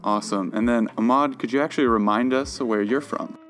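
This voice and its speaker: A man's voice: medium pitch, fairly quick, a bit bright, faint music behind. A young man hosting a podcast, introducing guests and posing questions in a friendly manner. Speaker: podcast host.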